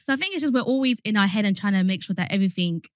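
A young adult woman hosting a podcast in casual, slangy, animated chat. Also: medium pitch, rapid-fire, no echo, studio quality. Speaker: podcast host.

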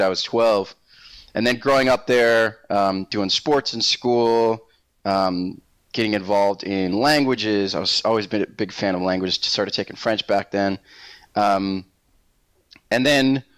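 A man from Puerto Rico, a native Spanish speaker, telling his personal story as an interview guest in a reflective, measured, conversational way. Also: fairly low pitch, no room sound, rough recording. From Puerto Rico, later Massachusetts.

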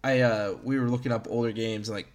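A man's voice: mid-range voice, fairly even intonation, fairly quick. A man in his early thirties chatting casually as a podcast host about video games, in a rambling, self-deprecating way. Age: early 30s.

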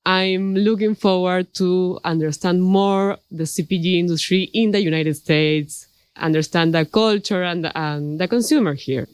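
Low, smooth-voiced woman from Peru. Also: a little slow, darkish tone, dry recording. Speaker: MBA student panelist. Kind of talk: panel discussion.

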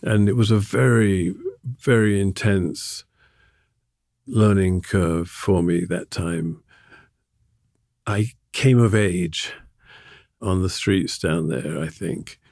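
Older man speaking in slow, halting reflection. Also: low voice, no echo, clean studio sound. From England. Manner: thoughtful, halting, laughs softly between thoughts. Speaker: artist being interviewed. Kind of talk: reflective conversational interview.

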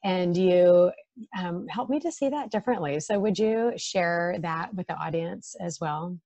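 A woman hosts an interview, speaking in a chatty, affirming way full of "you know" fillers. Her voice sits somewhat low. The sound is dry and close. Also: a little slow, dark tone, dry recording. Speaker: host. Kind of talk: conversational interview.

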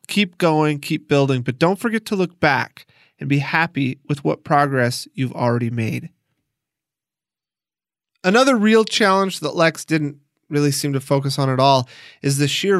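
A man's voice: fairly high pitch, fairly bright tone, dry recording, clean studio sound. A man hosting and narrating an audio documentary in a scripted style. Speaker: podcast documentary host and narrator.

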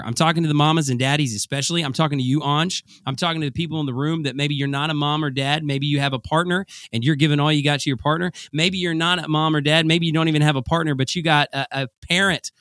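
A man co-hosting a podcast, chatting casually in a playful, excitable way with sing-song emphasis. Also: a little high, fast talker, few pauses, no echo, studio quality. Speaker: podcast co-host.

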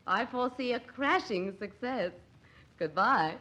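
Imperious young socialite: haughty, teasing, higher-pitched and very animated, old radio drama. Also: female voice, a little slow, a little hiss or hum. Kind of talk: 1940s radio drama acting.

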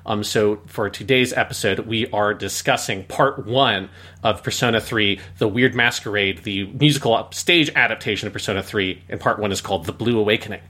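A man's voice: fairly low pitch, normal speed, bright, forceful tone, slightly rough. A man co-hosting a podcast, explaining things in a casual, somewhat rambling way. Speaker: podcast co-host.

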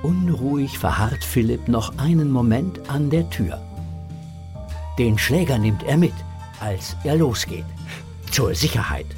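A man narrating a scripted children's audio drama in a measured, suspenseful way. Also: mid-range voice, animated, over music.

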